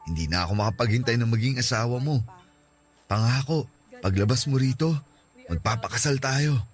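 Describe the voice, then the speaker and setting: A man's voice: medium pitch, normal speed, natural voice. A man playing a devoted, protective young boyfriend in a sentimental radio romance drama, earnest and emotional. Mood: earnest, reassuring. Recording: music under the voice.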